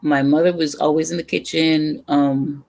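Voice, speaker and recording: A woman's voice: low voice, fairly even intonation, moderate pace, gravelly, darkish tone, rough recording. A middle-aged woman from the Bronx with a New York accent, chatting casually with viewers on a home livestream and rambling between sips of her drink. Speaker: livestream host. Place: home room with brick wall.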